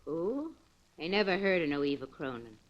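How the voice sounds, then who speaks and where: A woman's voice: low voice, very animated, normal speed. An actress playing a surly, suspicious woman in an old radio drama, with curt, ungrammatical folksy speech. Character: surly, suspicious woman answering her door late at night. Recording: muffled vintage radio recording with hiss.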